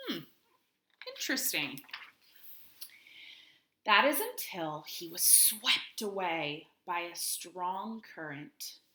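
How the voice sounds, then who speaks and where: A woman's voice: lowish voice, big pitch swings, slow, pauses often, bright, forceful tone. A woman teacher doing a read-aloud for young children, talking to them directly with questions and prompts. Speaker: primary school teacher.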